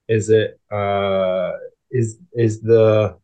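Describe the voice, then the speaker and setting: Mellow-voiced man, searching for words. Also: fairly low pitch, level tone, extremely slow. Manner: searching, rephrases questions as he goes. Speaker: podcast panelist posing questions. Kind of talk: casual discussion.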